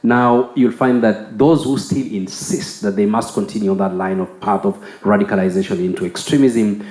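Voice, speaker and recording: A male researcher presents on a conference panel in a lowish, clear-edged voice. He speaks off the cuff, doubling back on words as he goes. The room echoes noticeably around him. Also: steady tone, darkish tone. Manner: halting, repeats words. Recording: roomy conference hall sound.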